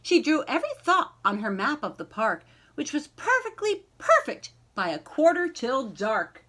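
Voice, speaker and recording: A woman reading a children's picture book aloud in an expressive storytelling style, addressing young listeners directly. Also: high-pitched. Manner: animated, pausing to ask young listeners questions.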